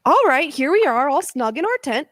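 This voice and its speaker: A woman's voice: high-pitched, very animated, normal speed, fairly bright tone, slight background noise. A woman playing a pushy, cheerfully intrusive weather supervillain in a comedic radio play over a video call. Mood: breezy, pushy.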